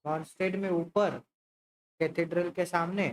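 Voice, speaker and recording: A man's voice: fairly high pitch, flat delivery, very fast, slight background noise. A man with an Indian accent giving casual live gameplay commentary, often muttering to himself as he plays. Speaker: game streamer. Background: game music.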